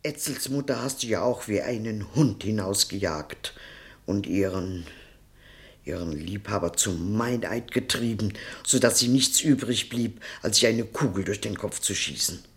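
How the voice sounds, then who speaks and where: An actress playing a bitter, outspoken old grandmother in a German radio drama, halting and emphatic, repeating words for weight. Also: a bass voice. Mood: agitated, accusing. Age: older.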